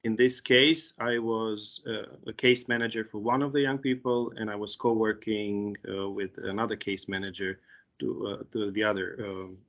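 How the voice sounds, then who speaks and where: A man's voice: lowish voice, little pitch movement, moderate pace, fairly bright tone. A man in his thirties with a Romanian accent, an interpreter speaking hesitantly on a video call. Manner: hesitant, many fillers and restarts. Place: small room indoors. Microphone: video call webcam. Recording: dull lo-fi video call audio.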